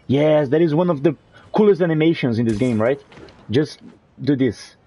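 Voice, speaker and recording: Gameplay streamer, male, Portuguese accent, husky and mellow voice, relaxed chatter. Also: a little high, moderate pace. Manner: relaxed, chatty, answering viewers. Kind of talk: casual commentary while playing.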